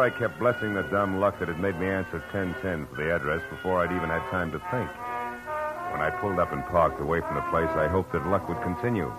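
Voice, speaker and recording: A man's voice: deep voice, low-quality recording. A man playing a hard-boiled private detective in a 1940s radio drama, narrating and talking in a wry, clipped, world-weary way over music on an old broadcast.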